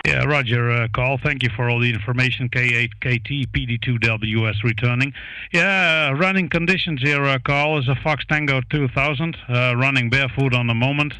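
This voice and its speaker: A man with a Dutch accent, a native Dutch speaker, making contact as an amateur radio operator, speaking English haltingly with many hesitations. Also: mid-range voice, bright, forceful tone, clean tone, low-quality recording. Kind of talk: ham radio contact chat. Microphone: close studio broadcast microphone.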